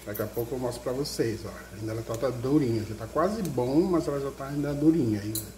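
A man's voice: mid-range voice, a little fast, slight background noise. Homely man talking through a home recipe. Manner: homely, explains each step with diminutives. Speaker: home cook, husband of the channel host. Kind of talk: casual cooking vlog narration. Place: home kitchen, overhead camera on the counter. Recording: echoey kitchen with loud clatter of dishes.

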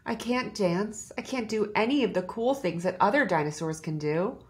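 A woman's voice: medium pitch, expressive, normal speed, darkish tone, close to an everyday voice. A woman voicing a shy, self-doubting young male dinosaur-unicorn in a downcast, plain-spoken way for a storybook. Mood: dejected.

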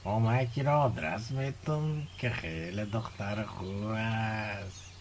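A man's voice: on the low side, a little hiss or hum, natural voice. An actor playing a friendly, gossipy village man in a radio drama, speaking Dari with an Afghan accent. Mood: confiding.

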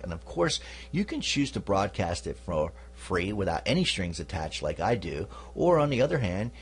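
A man's voice: fairly low pitch, husky. A man hosting a podcast, giving an instructional talk casually, with "you know" asides. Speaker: podcast host.

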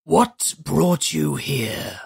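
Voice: mid-range voice, animated, extremely slow, gravelly, darkish tone, breathy edge, no room sound, clean studio sound, exaggerated voice. A storyteller voicing a bald, toad-like male demon of the past who speaks in a harsh, scornful drawl. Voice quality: harsh, grating voice.

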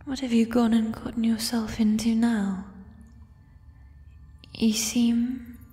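A woman voicing a gentle, shy giant female troll in a soft, breathy ASMR whisper, with tender, formal phrasing. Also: on the high side, level tone. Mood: tender, concerned. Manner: slow, formal old-fashioned phrasing, tender. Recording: long reverb, music behind. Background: fantasy ambience music.

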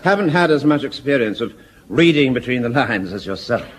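An actor playing a mild, diffident and polite sergeant in a radio sitcom. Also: male voice, mid-range voice, lively intonation, a little fast, husky. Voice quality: languid, diffident.

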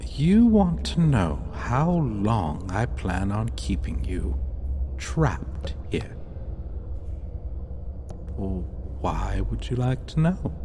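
A man playing a smug, sadistic, possessive vampire lord in an ASMR roleplay, teasing with mock affection and quiet menace. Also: fairly low pitch, very animated, extremely slow, pauses often, background noise, heavily acted. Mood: smug, flirtatious. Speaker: voice actor in audio roleplay.

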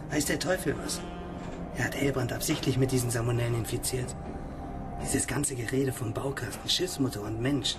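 A man's voice: mid-range voice, close to an everyday voice. An actor playing a bold, curious young man turned amateur investigator, speaking colloquially and urgently in a radio drama. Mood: determined, conspiratorial. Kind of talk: German radio drama acting.

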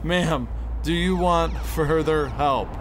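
An actor playing an emergency first responder in an audio drama, calling out loudly and insistently. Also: male voice, on the high side, takes it slowly. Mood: relieved. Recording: noisy emergency scene ambience.

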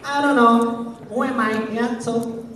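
A man's voice: very high voice, steady tone, unhurried, a crowd in the background. Brief: man, teacher presenting on stage, jokey and self-mocking, heard far off in a large hall with long echo. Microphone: far audience camera.

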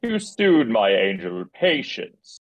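A man playing a pompous, scheming, imperious prince with a husky voice in a screenplay table read. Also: fairly low pitch, very animated, slow, heavily acted. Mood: soothing.

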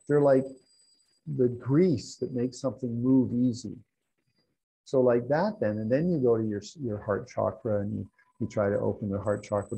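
A man's voice: medium pitch, vocal fry, dark tone, husky, rough recording. An older man teaching a Buddhist class from a room at home, explaining at length with restarts and asides, on a lapel mic. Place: room at home with wall hangings.

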